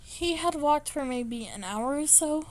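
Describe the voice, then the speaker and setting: A woman reading a story aloud as an amateur narrator, with a halting delivery that stumbles over words and goes back to reread them. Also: sits high, expressive, poor audio. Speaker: amateur fan fiction reader.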